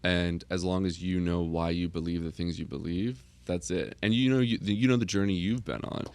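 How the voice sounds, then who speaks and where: Low-pitched man, earnest and halting. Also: fairly even intonation, darkish tone, no echo. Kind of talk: casual podcast chat.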